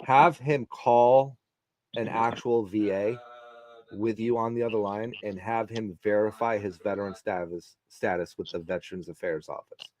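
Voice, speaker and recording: A man's voice: lowish voice, steady tone, darkish tone, low-quality recording. A man on a live stream panel, speaking politely but firmly, pressing a request. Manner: measured, polite, insistent. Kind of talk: casual live stream chat.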